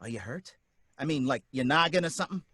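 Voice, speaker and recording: A man's voice: a little high, expressive, fast talker, sharp tone, husky, no echo, clean studio sound, heavily acted. An actor playing a wary, working-class male taxi driver who talks in folksy slang and grows suspicious of his passenger. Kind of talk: live radio drama reading.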